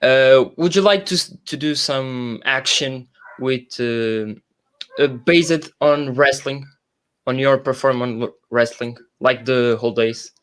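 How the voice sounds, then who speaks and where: Guy co-hosting a stream, mid-range voice, asking stuff casually, halting, hunting for the words. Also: mid-range voice, a bit bright, smooth. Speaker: livestream co-host, interviewer. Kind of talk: casual interview question.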